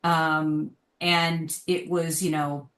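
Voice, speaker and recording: A woman's voice: low voice, level tone, extremely slow, a bit bright. A woman guest on a podcast, chatting casually and candidly about her opinions. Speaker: podcast guest, television writer. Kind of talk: casual panel chat. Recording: roomy, echoey sound.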